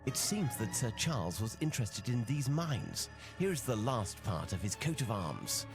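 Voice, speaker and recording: An actor playing a confident, analytical gentleman detective in a video game, speaking in a measured, formal and authoritative way. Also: medium pitch, very animated. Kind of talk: video game cutscene acting. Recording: music behind the voice. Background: game music.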